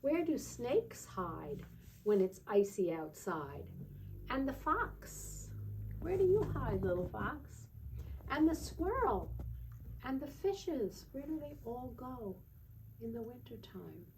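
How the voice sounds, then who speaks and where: A woman teacher leading storytime for young children, gently explaining and often checking in with little questions. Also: on the high side, sing-song, slow. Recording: distant mic with faint background noise.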